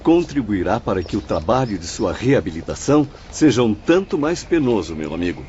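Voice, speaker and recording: A man's voice: lowish voice, very animated, brisk, a little mellow, slight background noise. Grave male spirit guide, acted, formal and courteous, varied intonation, muffled audio-drama recording. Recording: dull lo-fi audio, faint music.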